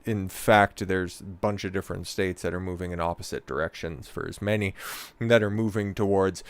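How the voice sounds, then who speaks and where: Low smooth guy streaming, sarcastic rambly commentary, dead dry close mic. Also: brisk, dry recording. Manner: sarcastic, rambling, with many fillers. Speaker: livestream host. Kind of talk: casual reaction commentary.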